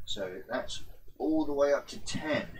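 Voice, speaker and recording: A man's voice: medium pitch, a little slow, recorded from a distance, slight background noise, poor audio. Laid-back man narrating a tabletop game. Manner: relaxed, hesitant, lots of uh. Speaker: stream host and tabletop player. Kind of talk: casual livestream game commentary.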